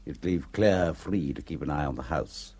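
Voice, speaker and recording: An actor playing a calm, assured ringleader of a criminal plot. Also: male voice, rumbling low, moderate pace. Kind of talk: 1970s radio drama acting.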